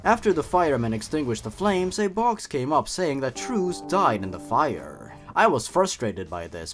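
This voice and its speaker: A young man narrating and commenting on an online horror story, expressively and with playful, wry asides. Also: mid-range voice. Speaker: YouTube host and narrator. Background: background music.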